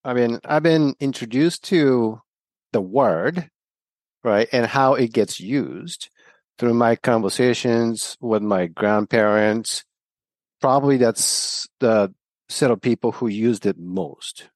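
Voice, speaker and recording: A man of about fifty with a Japanese accent, a native Japanese speaker talking as a podcast guest in a halting, reflective way. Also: mid-range voice, darkish tone, no echo. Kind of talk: casual interview conversation.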